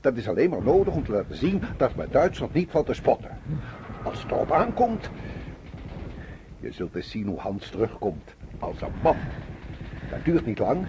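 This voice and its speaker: A man's voice: medium pitch, sing-song, moderate pace, long pauses, background noise, natural voice. A man acting a proud, stubborn middle-aged father in a radio drama, declaiming vehemently. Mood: dismissive, boastful. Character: proud, stubborn German socialist father defending the war.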